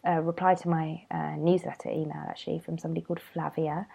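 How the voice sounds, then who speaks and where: A woman's voice: low-pitched, little pitch movement, quick pace, gravelly, warm tone, breathy edge. A young British woman chatting casually and informally as a solo podcast host, rambling a little, nasal and congested with a cold. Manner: rambling, informal, self-deprecating.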